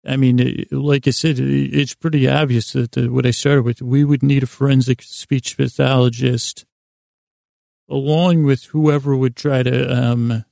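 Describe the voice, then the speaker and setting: A man with a creaky voice hosting a sleep podcast, delivering a whimsical, meandering monologue that halts, trails off and doubles back absent-mindedly. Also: mid-range voice, flat delivery, measured pace, darkish tone, dry recording, studio quality. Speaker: podcast host.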